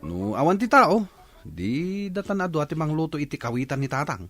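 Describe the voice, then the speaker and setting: Man acting a carefree young village bachelor, lively and high-pitched. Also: fairly quick, soft background music. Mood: jovial scheming. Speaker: actor. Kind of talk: comedy radio drama.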